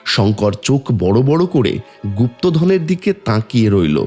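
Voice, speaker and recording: A man's voice: mid-range voice, very animated, normal speed, a little mellow, faint music behind. A man narrating a scripted cartoon folk tale as the storyteller.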